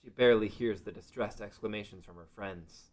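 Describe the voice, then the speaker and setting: Low-voiced man narrating a fantasy story. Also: brisk, a little mellow.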